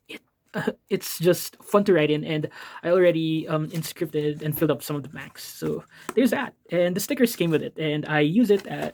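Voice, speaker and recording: A man's voice: on the high side, darkish tone, a little hiss or hum. A man with a Filipino accent, from the Philippines, chatting casually in an art supplies vlog. He rambles, fills his talk with ums, and corrects himself. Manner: rambling, filler-heavy, self-correcting, apologetic asides. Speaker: art vlogger.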